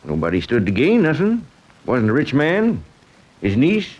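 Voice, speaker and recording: An actor playing a shrewd, folksy small-town police chief in a 1940s radio drama, with country turns of phrase. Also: male voice, medium pitch, huge pitch swings, moderate pace, a little mellow, muffled recording. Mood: matter-of-fact, guarded.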